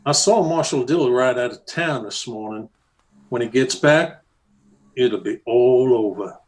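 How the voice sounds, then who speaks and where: Slow, sneering cowboy bully played by a man. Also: mid-range voice, close to an everyday voice. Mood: menacing. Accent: American. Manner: mocking, slow-drawling taunts. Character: menacing cowboy bully, sneering ex-Confederate guerrilla. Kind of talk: old-time radio Western acting.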